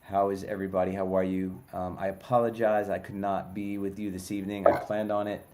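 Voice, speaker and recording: A man's voice: low voice, little pitch movement, a little fast, dark tone, a little hiss or hum. A man with a New York accent giving a heartfelt recorded video greeting to his former castmates. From Brooklyn, New York. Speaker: former cast member sending a video message.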